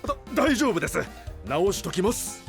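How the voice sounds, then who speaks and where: An eager park builder in an anime-style audio drama. The delivery is polite and unbothered, with lively jumps in pitch over background music. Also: female voice, normal speed. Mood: proud, eager.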